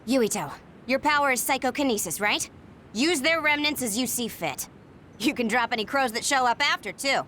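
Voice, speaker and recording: A woman's voice: sits high, animated, moderate pace, sharp tone, a little hiss or hum. A woman playing a lazy but caring senior soldier in an anime game, casual and nonchalant, with music behind her. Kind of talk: English anime game voice acting.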